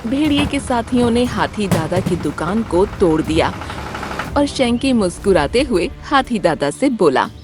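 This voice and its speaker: Lively woman narrating a children's story. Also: medium pitch, measured pace, over music.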